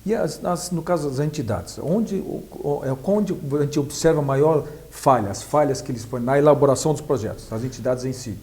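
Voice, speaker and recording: A Brazilian man working as an interviewer, asking conversational questions in a halting, rephrasing way. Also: mid-range voice, darkish tone.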